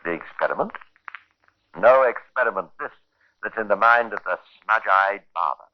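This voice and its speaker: Guy doing old radio crime narrator, sardonic and dramatic, lowish voice, muffled old tape. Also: close to an everyday voice. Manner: sardonic, deliberate, theatrical storytelling. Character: sardonic male storyteller relishing a grim murder tale. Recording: noisy, muffled 1950s radio recording.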